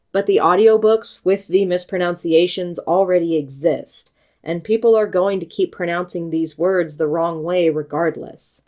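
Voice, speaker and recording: A woman from the United States narrates a scripted book review. She speaks in a measured, articulate way and sharpens into pointed critique, her voice sitting a little low. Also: a little mellow. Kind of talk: scripted video essay.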